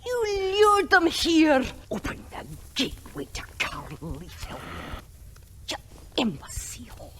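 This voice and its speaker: An actress playing a cantankerous, ancient granny master forger in a video game, scolding and theatrical. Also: female voice, high voice, wildly swooping pitch, noisy background, exaggerated voice. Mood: gruff wonder. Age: older. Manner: scolding, dramatic, slow emphatic delivery. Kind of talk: video game cutscene acting.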